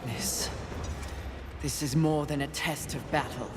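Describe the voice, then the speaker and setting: A woman's voice: sits low, measured pace, background noise. An actress playing a proud, impatient female warrior in a video game, speaking in a curt, defiant way. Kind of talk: dramatic video game acting. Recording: reverberant, loud music behind.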